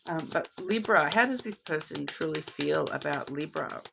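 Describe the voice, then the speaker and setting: A woman's voice: sits low, expressive, moderate pace, vocal fry, noisy background. A woman with a cold, sounding sniffly, giving a chatty card reading to viewers and often checking in with "okay?". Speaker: tarot card reader.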